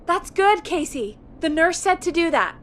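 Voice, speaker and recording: An actress playing a supportive, practical radio producer in a video game, with a steady, reassuring manner. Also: female voice, extremely high pitch, moderate pace, a little hiss or hum. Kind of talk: natural video game voice acting.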